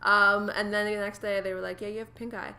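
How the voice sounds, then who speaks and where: A woman's voice: mid-range voice, level tone, a little fast, sharp tone. A young woman co-hosting a podcast, chatting casually with lots of fillers and laughs. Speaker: podcast co-host. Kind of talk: casual chat. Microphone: desktop USB microphone.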